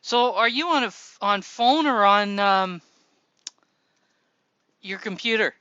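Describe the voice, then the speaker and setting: Bright, crisp man hosting a voice chat. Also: high voice, slow, dry recording, muffled recording. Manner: relaxed, helpful, reads posts aloud. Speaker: show host. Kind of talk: casual chat on a voice call.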